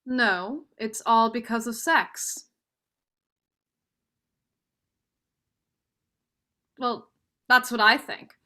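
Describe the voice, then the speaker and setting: A young woman student actor playing a bright, outspoken, romantic young woman in a staged play reading. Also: fairly high pitch, slow pace. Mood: earnest theorising. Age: young adult.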